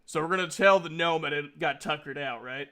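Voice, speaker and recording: A man's voice: a little high, fairly quick, sharp tone. A man improvising casual narration as the game master of a tabletop roleplaying game, in an informal way.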